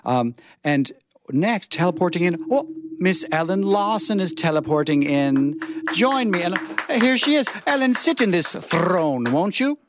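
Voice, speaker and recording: A man's voice: high-pitched, expressive, unhurried. A man hosting a live event in a theatrical, courtly manner. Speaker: host. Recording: clear background noise with clicks.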